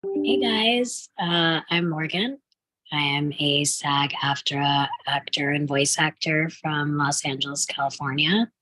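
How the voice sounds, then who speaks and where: Woman, deep pitch, bright forceful tone, casual introduction. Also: takes it slowly, poor audio. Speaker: actor and voice actor. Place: indoors, on a video call.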